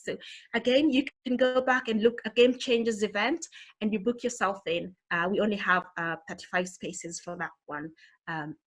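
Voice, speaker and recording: A woman's voice: mid-range voice, fairly bright tone. A woman with a Kenyan accent giving an earnest, encouraging motivational talk to an online audience. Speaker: motivational speaker.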